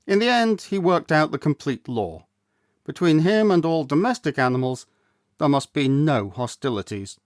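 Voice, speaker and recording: A man reading a literary story aloud, with measured, deliberate phrasing and dramatic emphasis. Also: fairly high pitch, lively intonation, no echo, studio quality. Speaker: storyteller, audiobook reader. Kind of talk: scripted literary narration, storytelling.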